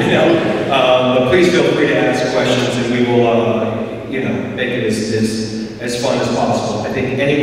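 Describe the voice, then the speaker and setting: An American man in his forties hosting a casual, unscripted stage conversation, rambling, jokey and often interrupting himself. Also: medium pitch. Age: 40s. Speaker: actor hosting a festival conversation. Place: large wood-panelled auditorium stage. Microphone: handheld mic, heard from camera in hall. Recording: echoey hall, far off, faint noise.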